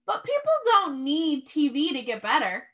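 A woman's voice: sits high, huge pitch swings, normal speed, a bit bright, lo-fi sound, full character voice. A woman voicing a helpful, knowing mother in a children's storybook, explaining with emphasis. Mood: confident. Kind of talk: children's storybook read-aloud.

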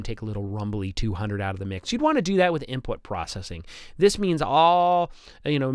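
Clear-voiced male instructor, close dry sound. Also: medium pitch, fairly bright tone, no echo. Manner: conversational, explanatory, with stammered repeats. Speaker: instructor, audio engineer. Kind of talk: informal lecture over slides.

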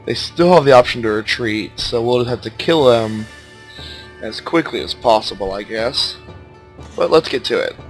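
A man with a stuffed-up, nasal voice giving relaxed, casual commentary while playing a strategy game. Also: mid-range voice, normal speed, faint music behind. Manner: laid-back, thinking aloud as he plays. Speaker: let's play commentator.

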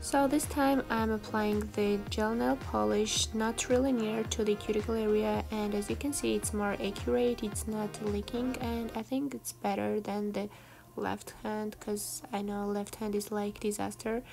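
A woman's voice: medium pitch, level tone, normal speed, gravelly. A woman giving a casual, chatty tutorial voice-over in a self-deprecating way, like a beauty vlogger. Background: background music.

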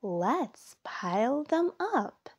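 A woman's voice: high voice, very animated, very slow, slightly rough, pitched above the performer's own voice, heavily acted. Woman reading as an eager, playful girl mouse. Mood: excited, eager. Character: cheerful playful young female mouse in a storybook.

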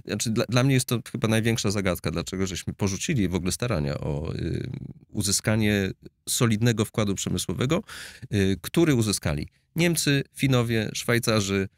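Middle-aged male analyst, somewhat low, dry studio mic, thoughtful and halting. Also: lively intonation, no echo. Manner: hesitant, many fillers and restarts, gestures while explaining. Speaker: security analyst guest. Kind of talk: conversational expert interview. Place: studio with blue backdrop. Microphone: studio mic on boom arm.

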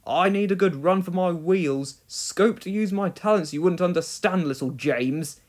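A man's voice: sits high, moderate pace. A big, self-important male engine from a children's storybook, voiced by a man reading aloud. He speaks grandly and knowingly, as if to an inferior.

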